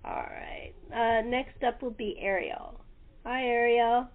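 A woman's voice: fairly high pitch, takes it slowly. Woman hosting over a thin call line. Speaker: host interviewing radio-play cast. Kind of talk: casual chat. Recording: thin narrow-band call audio.

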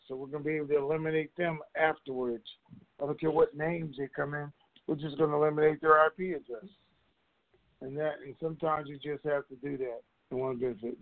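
Dull-sounding call line, higher-pitched man. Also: no echo. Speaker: conference call host. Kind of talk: informal monologue to call listeners.

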